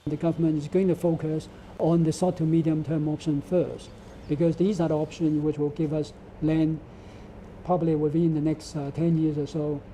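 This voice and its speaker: A man with a dark timbre and flat, even intonation makes an unscripted comment to the press. He is halting, with faint noise around him. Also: fairly high pitch. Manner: halting, repeats words. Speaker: government task force chief. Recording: dull sound with faint ambient noise.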